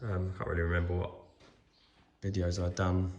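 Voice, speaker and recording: A man with a cold, croaky and hoarse, chatting casually with lots of ums while filming a walkaround of his truck restoration in a workshop. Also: sits low, moderate pace, muffled recording, slight background noise. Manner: relaxed, many ums, trailing off.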